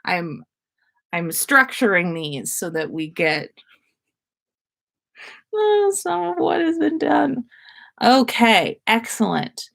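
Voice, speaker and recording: A woman's voice: on the high side, sing-song, takes it slowly, vocal fry, pauses often, fairly bright tone. A woman host reading a story aloud in a storytelling style, with casual asides. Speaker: podcast host reading listener stories.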